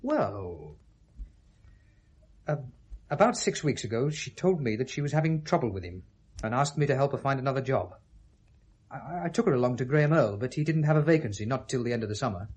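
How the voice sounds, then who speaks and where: A man's voice: mid-range voice, sing-song, fairly quick, long pauses, darkish tone, slightly rough. An actor playing a troubled, earnest and guilt-ridden educated British man in a 1970s radio drama. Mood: guarded, insistent.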